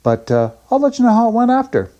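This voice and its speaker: Older male, relaxed dark-toned voice, folksy and self-effacing, chatty everyday vlog delivery. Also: extremely high pitch, fast, highs cut off. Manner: folksy, rambling, self-deprecating. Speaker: vlogger. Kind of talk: casual chatty daily vlog.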